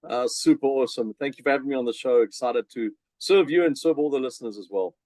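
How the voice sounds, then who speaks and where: A man's voice: mid-range voice, fast, a little mellow, no room sound. A middle-aged man with a South African accent, chatting energetically as a podcast guest with many fillers and restarts. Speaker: podcast guest, business coach. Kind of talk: casual interview chat.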